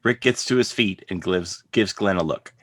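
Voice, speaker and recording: A man's voice: deep voice, moderate pace, a bit bright, no room sound. A man narrating scripted stage directions as the narrator of a drama reading.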